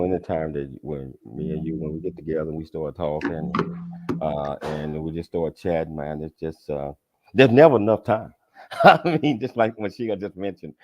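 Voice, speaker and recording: Very deep voiced host guy, chatty and upbeat, dull dry lo-fi sound. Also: dry recording. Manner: enthusiastic, chatty, many fillers. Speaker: talk show host. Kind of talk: casual chat.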